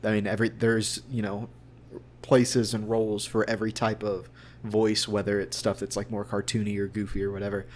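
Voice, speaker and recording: A man's voice: lowish voice, completely flat delivery, fairly quick. A man speaking as a voice actor in a casual interview, in an earnest, rambling way.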